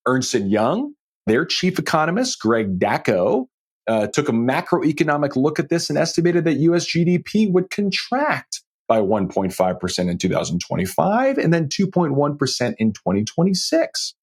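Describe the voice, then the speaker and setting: A man's voice: on the high side, sing-song, moderate pace, breathy edge. An American man hosting a solo financial podcast, talking emphatically and often checking in with his listeners. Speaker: podcast host.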